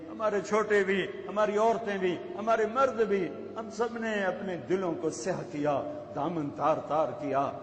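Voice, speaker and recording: A man leading a fervent, pleading Ramadan supplication in a mosque, repeating his invocations. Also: sits high. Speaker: prayer leader. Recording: echoey hall with faint ambience.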